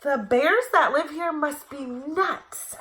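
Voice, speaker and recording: A woman's voice: sits high, takes it slowly, noisy background, voice pushed up. A woman voicing a headstrong, greedy little storybook girl who exclaims with naive self-assurance. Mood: puzzled.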